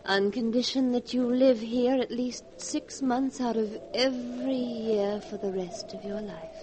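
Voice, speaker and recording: An actress playing a possessive dying wife with a menacing streak, speaking slowly and dramatically in a 1940s radio horror play. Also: female voice, a little high, steady tone, darkish tone, close to an everyday voice. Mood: solemn, coaxing. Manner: slow, dramatic, insistent. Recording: noisy 1940s radio broadcast.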